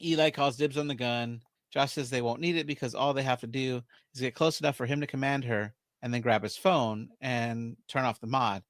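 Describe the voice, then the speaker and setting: A man's voice: mid-range voice, fairly even intonation, brisk, hardly any pauses, no echo, clean studio sound. A man hosting a podcast, recapping a movie's plot scene by scene in casual chat and laughing easily. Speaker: podcast host.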